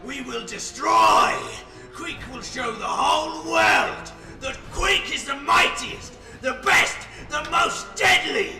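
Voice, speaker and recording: Shrill creaky boastful ratman. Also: very animated, slow, hardly any pauses, pushed and bright, reverberant, far from the mic, faint music behind, heavily acted. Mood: fanatical boasting. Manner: gloating, repeats himself, exclamatory. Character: boastful, deranged ratman warrior, vicious and vain. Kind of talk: character voice demo.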